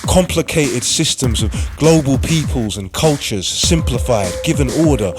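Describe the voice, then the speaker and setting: Male narrator, medium pitch, deliberate dramatic delivery, scripted radio play, music bed. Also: lively intonation. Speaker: writer-performer, narrator. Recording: music under the voice.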